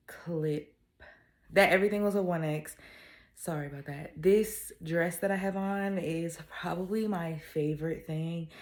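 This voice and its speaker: A woman's voice: on the low side, darkish tone. Nasal young woman with a cold. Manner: chatty, upbeat, lots of fillers. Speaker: vlogger. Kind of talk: casual chat.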